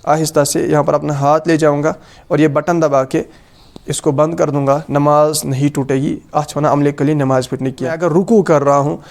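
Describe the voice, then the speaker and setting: A man with a Kashmiri accent and a slightly high voice presents a religious lesson. He explains rules practically and instructively, going back over each point as a teacher would. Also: little pitch movement, normal speed, creaky, darkish tone. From Kashmir, South Asia. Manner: instructive, repeats points in two languages.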